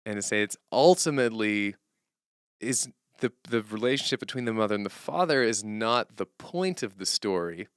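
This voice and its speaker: Male streamer, lowish smooth voice, casual and animated, rambling thinker-aloud, dry close sound. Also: normal speed, no echo. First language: English as main language, German heard at home. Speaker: streamer and songwriter. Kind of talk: casual unscripted stream talk.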